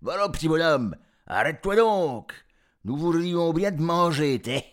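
A rustic, hungry peasant from a children's tale, put on by a man. He calls out in a folksy, hectoring way, with a lively swinging intonation and lots of interjections. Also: on the high side, measured pace, voice pushed up. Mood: eager.

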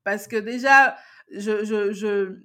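A woman hosting her podcast, speaking in a warm, effusive way that comes in quick bursts. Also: mid-range voice. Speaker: podcast host. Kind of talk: conversational interview.

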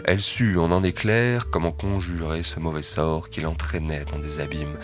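An actor playing a solemn, mock-epic storyteller, narrating in a literary scripted style. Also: male voice, extremely low pitch, steady tone, moderate pace. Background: music.

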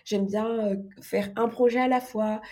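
A woman's voice: fairly high pitch, fairly even intonation, a little slow, warm tone. A woman speaking casually as a podcast guest, explaining things informally with examples. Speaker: podcast guest, organisation coach. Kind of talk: casual interview conversation.

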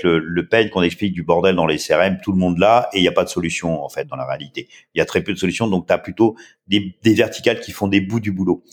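A man in his fifties, a startup founder answering interview questions in a casual, rambling way with many filler sounds. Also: deep voice. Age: 50s.

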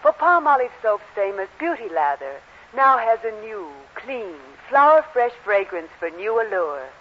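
A man's voice: high voice, sing-song, moderate pace. A man with an American accent reading a scripted commercial in 1940s radio style. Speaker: commercial announcer. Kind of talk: 1940s radio advertising read. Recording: muffled, hissy 1940s radio recording.

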